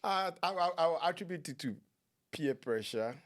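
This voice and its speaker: A man, telling his life story as a guest in a relaxed, laughing way. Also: a little high, normal speed. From Ghana. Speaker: guest, clinician. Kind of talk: storytelling interview talk.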